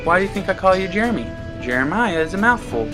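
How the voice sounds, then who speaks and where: Man putting on a high-pitched, flirty tipsy woman. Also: very animated, fast talker, over music. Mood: playful. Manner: teasing, flirtatious. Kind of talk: dramatic story reading.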